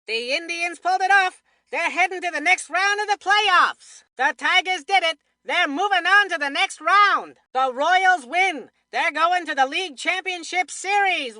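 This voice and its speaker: A woman voicing a peppy, excitable kid sports commentator in a cartoon baseball game. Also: very high voice, dry recording, clean studio sound, exaggerated voice. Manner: peppy, exclamatory. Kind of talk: scripted play-by-play commentary.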